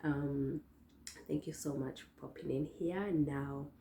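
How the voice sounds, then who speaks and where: A young woman with a hoarse voice hosting her own vlog, chatting casually to viewers with lots of fillers and restarts. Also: low-pitched, steady tone, takes it slowly, dark tone, a little hiss or hum. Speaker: vlogger host. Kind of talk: casual talk to camera. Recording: echoey room.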